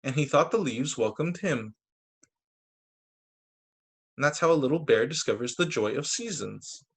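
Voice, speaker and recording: Animated man reading children's stories. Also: medium pitch, normal speed, slightly rough. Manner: expressive, with brief casual asides. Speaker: teacher reading picture books to children. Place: remote video story time.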